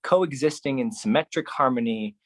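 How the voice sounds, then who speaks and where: A solo performer delivering a poetic monologue in a theatrical, deliberate way, with dramatic pauses and repetition. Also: medium pitch.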